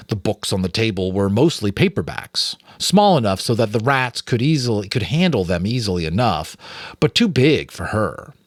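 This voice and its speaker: A man's voice: fairly low pitch, dry recording, studio quality. A man with an American accent, reading a story aloud in dramatic audiobook narration.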